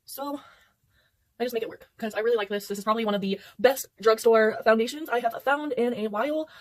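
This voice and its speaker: A woman's voice: a little high, brisk. A young woman chatting casually through a makeup tutorial, rambling and poking fun at herself, recorded indoors at night. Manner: rambling, chatty, self-deprecating. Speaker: beauty vlogger. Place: indoors under artificial light at night.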